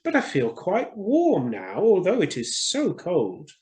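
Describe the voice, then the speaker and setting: A man voicing a small proud swallow, swooping pitch. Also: huge pitch swings, slow pace, darkish tone, full character voice. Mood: wondering. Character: small proud chatty male swallow, kind-hearted. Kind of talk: children's storybook reading.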